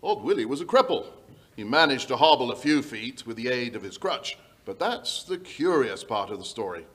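A man's voice: mid-range voice, sing-song, moderate pace, a bit bright. A middle-aged man playing a worried, polite aristocratic landowner, telling his tale hesitantly in old-time radio style. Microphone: shared stage microphone.

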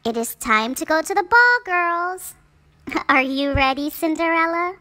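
A woman voicing a cold, mean middle-aged stepmother in a children's storybook, commanding and deliberate. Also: very high voice, lively intonation, sharp tone, dry recording, voice pushed up, heavily acted. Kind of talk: children's storybook read-aloud.

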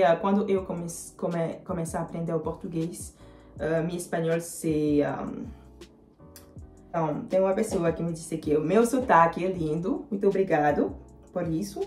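A young woman in her twenties, a native French speaker with a French accent, chatting to camera with many fillers and pauses as she answers viewer comments. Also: lowish voice, a little mellow, echoey room. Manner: hesitant, many fillers, switches into English. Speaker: vlogger. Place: small indoor room.

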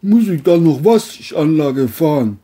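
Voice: mid-range voice, expressive, unhurried, mellow, husky, voice pushed up. A narrator voicing a dim, stubborn male taxi driver who talks bluntly in an audio crime story. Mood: dopey, carefree.

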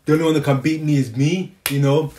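Higher-voiced young man, casual host. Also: fairly even intonation, fast, a little mellow. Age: young adult. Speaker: YouTube reaction channel host. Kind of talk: casual chat to camera. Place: small room at home, on a couch.

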